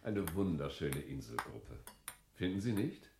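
A man's voice: extremely low pitch, big pitch swings, measured pace, vocal fry, roomy sound, background noise, full character voice. A man playing an insistent, theatrical fellow who pleads with and courts a woman in a radio play. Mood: gallant.

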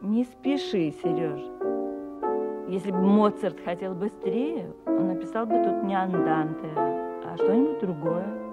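A woman playing a patient music mentor gently instructing a child in a radio play. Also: mid-range voice, very animated, measured pace. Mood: gently correcting. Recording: dull muffled sound, loud piano.

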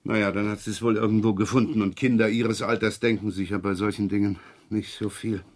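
A man's voice: lowish voice, level tone, brisk, no echo, close to an everyday voice. A man acting a shrewd, rumpled, talkative provincial police inspector in a radio crime drama, probing and rambling with wry repetitions. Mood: businesslike, probing.

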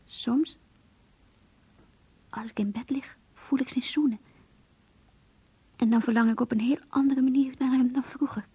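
An actress in a radio play voicing an earnest, introspective young woman who confides in her diary in an intimate, breathy voice. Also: sits high, steady tone, a little slow, pauses often, mellow, no echo, exaggerated voice. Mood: wistful, troubled. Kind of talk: radio drama diary monologue.

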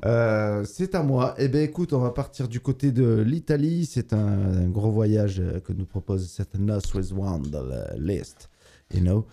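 Dark-voiced man presenting records on radio. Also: lowish voice, measured pace. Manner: hesitant, many fillers and restarts, relaxed. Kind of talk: casual radio chat.

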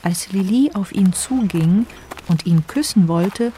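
A woman's voice: medium pitch, lively intonation, a little slow, dark tone, slight background noise. A woman reading scripted literary narration as the narrator of a radio drama.

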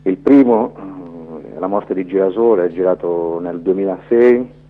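Low voiced guy being interviewed over the phone, muffled narrow sound. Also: unhurried. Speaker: documentary filmmaker, interview guest.

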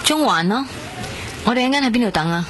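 An actress with a Hong Kong accent playing a gentle, curious young woman in a radio drama. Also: fairly high pitch, lively intonation, fast, very noisy, lightly acted. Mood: chatty. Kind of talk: radio drama dialogue and first-person narration. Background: prominent music.

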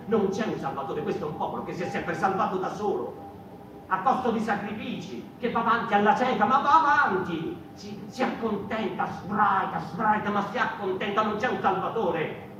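A woman's voice: medium pitch, moderate pace, lightly acted. A woman performing an insistent, impassioned theatrical monologue as a defiant figure from a mouse folk. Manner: insistent, repeating phrases. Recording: echoey, distant, noisy room recording.

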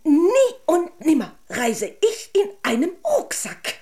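A woman's voice: extremely high pitch, huge pitch swings, takes it slowly, a little mellow, full character voice. A woman playing a prim, easily frightened little doll-like creature in a children's radio drama, theatrical and old-fashioned in speech, prone to wailing and stammering. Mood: indignant, plaintive.

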